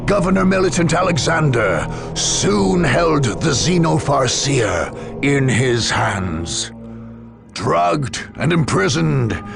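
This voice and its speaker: A man's voice: a little high, vocal fry. A man delivering solemn, formal scripted narration for a video game story, in a dramatic storytelling manner.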